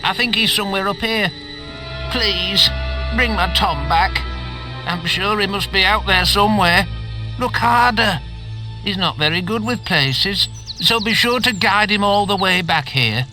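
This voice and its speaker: High, pleading villager. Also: male voice, a little slow, music in the background. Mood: pleading, fretful. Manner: pleading, fretful. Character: anxious, pleading, impatient man seeking his brother. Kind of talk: fantasy video game acting.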